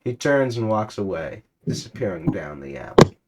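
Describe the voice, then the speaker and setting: A man giving a comic dramatic reading of a story, in a deadpan manner, sometimes stumbling and rereading lines. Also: lowish voice, normal speed, heavy background noise. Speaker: reader.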